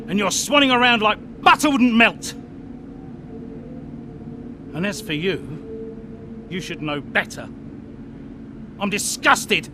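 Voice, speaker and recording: A man's voice: very high-pitched, background noise, exaggerated voice. An actor playing a furious team principal who berates his drivers in a video game cutscene. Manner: scolding, harsh, commanding. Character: furious male team principal, stern and domineering. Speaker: racing team boss.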